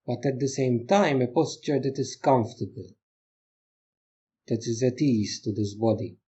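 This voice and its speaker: A man leading a guided meditation as a teacher, giving gentle instructions in short phrases with pauses. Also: medium pitch, breathy edge.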